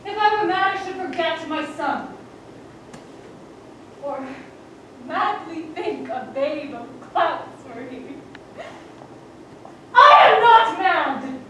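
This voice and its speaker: A woman's voice: sits high, animated, takes it slowly, pauses often, a bit bright, heavily acted. An actress playing a widowed queen mother crazed with grief, declaiming verse on stage. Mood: anguished. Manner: declaiming, voice swelling to cries. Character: grieving widowed queen mother, frantic with loss. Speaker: stage actor. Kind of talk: live Shakespearean stage acting. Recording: distant stage recording in an echoey hall, with background noise.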